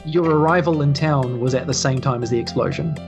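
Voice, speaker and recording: A man's voice: mid-range voice, a little fast, music in the background. A man with a New Zealand accent casually improvising narration as game master.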